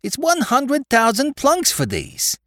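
A man voicing an excitable, slow-witted male crook from the Bowery who talks in tough street slang. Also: fairly high pitch, no room sound, clean studio sound, voice pushed up. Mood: excited.

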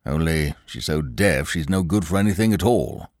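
Man doing a gruff harassed police inspector. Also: extremely low pitch, expressive, normal speed, a little mellow, no echo, studio quality. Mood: grumpy, harassed. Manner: gruff, morose. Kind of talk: audiobook reading.

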